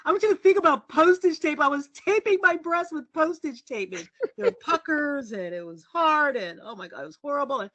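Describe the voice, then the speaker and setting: A middle-aged woman journalist from the US, telling candid stories as a podcast guest in a low, resonant voice. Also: a little fast, few pauses, no room sound. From United States. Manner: lively, candid and self-deprecating, laughs often. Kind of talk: casual storytelling interview.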